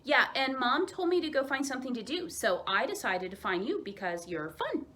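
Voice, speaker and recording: Woman voicing an excitable, eager young girl moose. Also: sits high, normal speed, a little hiss or hum, pitched above the performer's own voice, full character voice. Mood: cheerful. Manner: excitable, bouncy delivery. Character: eager young female moose, playful and determined.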